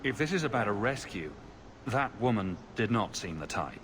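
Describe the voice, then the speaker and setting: A man's voice: on the low side, sing-song, moderate pace, a bit bright, a little hiss or hum. An actor playing a confident, plain-spoken male adventurer hero in a video game. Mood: confident, probing. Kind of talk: scripted video game dialogue.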